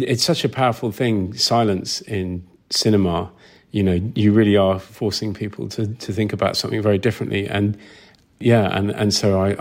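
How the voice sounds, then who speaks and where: A man talking casually as an interview guest, rambling through stories and acting out reactions. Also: on the low side. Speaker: interview guest, sound designer. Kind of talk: casual anecdotal interview talk.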